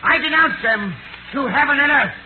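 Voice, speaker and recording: An agonized, wrathful man, declaims his denunciation at an extremely high pitch. The delivery is impassioned 1930s radio acting on a narrow-band recording with faint noise. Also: normal speed. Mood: anguished fury. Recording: thin, noisy 1938 radio recording.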